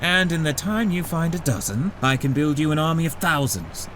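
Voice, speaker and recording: A man's voice: fairly high pitch, lively intonation, moderate pace, a bit bright, roomy sound, a little hiss or hum. A man playing a proud, scheming villain scientist with pompous, theatrical speech in a cartoon comic dub. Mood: brooding, defensive. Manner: pompous, elaborate articulate speech.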